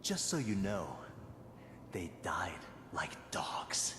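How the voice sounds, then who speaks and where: Taunting game villain, super low, slow, big echoey room. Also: female voice, sing-song, exaggerated voice. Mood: gloating menace. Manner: taunting, menacing. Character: menacing, vengeful criminal taunting an enemy. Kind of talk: video game villain dialogue. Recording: echoey hall, noisy game audio.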